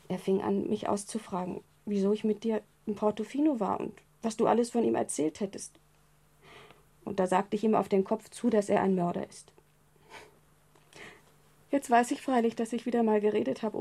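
A weak, frightened and loving young woman in a hospital bed in a 1970s German radio crime drama. Her voice is mellow and very breathy, soft in delivery. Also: on the high side. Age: young adult.

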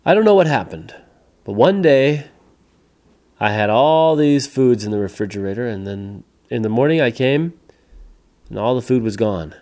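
Man as a big storybook giant, hearty, chatty, emphatic, quick-tempered. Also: mid-range voice, lively intonation, a little mellow, close to an everyday voice. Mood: aggrieved. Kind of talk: children's storytelling character voice.

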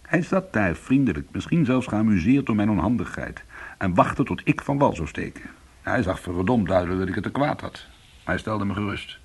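An actor playing an anxious, aggrieved ex-convict trying to go straight in a Dutch radio play, with a husky voice and a delivery between subdued and agitated. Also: male voice, on the low side, big pitch swings, normal speed, full character voice. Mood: uneasy, reflective. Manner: swings from subdued to agitated protest. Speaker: lead character and narrator.

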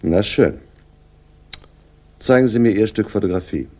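A world-weary police inspector in a 1960s radio thriller, with a low, dark and husky voice. He speaks in a dry, deliberate way, superior and sardonic. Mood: mocking. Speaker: actor in a radio crime drama. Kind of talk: 1960s German radio play acting, dialogue.

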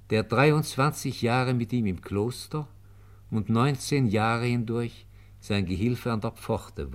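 A man narrating a scripted radio play in a factual storytelling style. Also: medium pitch.

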